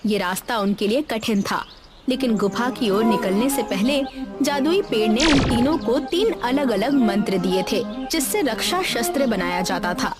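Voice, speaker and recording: A woman's voice: on the high side, expressive, measured pace. A woman reading a scripted children's story in a narrator's role. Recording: music behind the voice. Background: background music.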